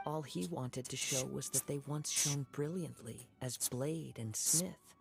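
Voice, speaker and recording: A calm young wandering samurai speaks in a composed, reflective way, his mid-pitched voice carrying a gravelly edge. Clear game music plays behind his words. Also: a little slow. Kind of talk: game voice acting.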